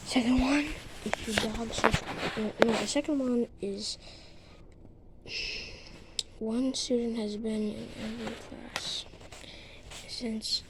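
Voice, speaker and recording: Slow-paced, high-voiced woman reacting casually. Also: steady tone. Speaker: reaction video host. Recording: clearly noisy background.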